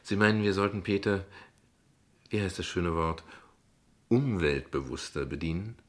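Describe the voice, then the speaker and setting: Husky, low-voiced, sardonic father. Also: normal speed. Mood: sardonic, defensive. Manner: wordy, sardonic, deflecting, with hesitations. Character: evasive, ironic, verbose father defending his unconventional family. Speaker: actor in a radio play. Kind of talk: dialogue radio play acting in standard German.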